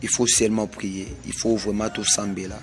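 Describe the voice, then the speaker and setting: Man, congolese french accent, prophetic sermon, deep flat voice, faint music behind. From Congo (DRC). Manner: slow, repetitive, emphatic pronouncements. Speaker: self-styled prophet.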